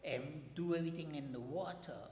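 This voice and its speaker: A man voicing a cautious, neighborly family beaver with a halting, repetitive way of warning. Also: fairly high pitch, roomy sound, in a large hall, crowd noise, lightly acted. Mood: wary.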